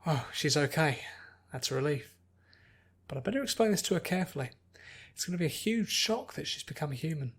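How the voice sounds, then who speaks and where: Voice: mid-range voice, expressive, brisk, slightly rough. A narrator voicing an anxious, bookish young woman who speaks carefully and earnestly in an acted audiobook. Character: anxious, bookish pony princess turned human young woman.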